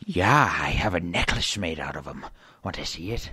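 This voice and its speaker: A man's voice: deep voice, lively intonation, moderate pace, pushed and bright, husky, no echo, studio quality, pitched below the performer's own voice, heavily acted. A man playing a boastful, bloodthirsty country leprechaun rustler in a comedy radio sketch. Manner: boastful, putting on an accent. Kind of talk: improvised comedy radio theater.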